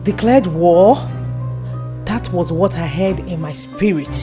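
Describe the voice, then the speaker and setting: Low, solemn, devout Nigerian woman. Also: takes it slowly, over music. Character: solemn, devout woman sharing prophetic insight. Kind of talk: gospel audio drama acting.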